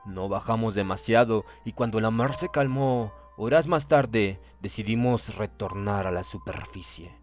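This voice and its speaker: A man's voice: on the low side, measured pace, few pauses. A man reads a horror story aloud as an audiobook narrator, in dramatic scripted narration. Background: background music.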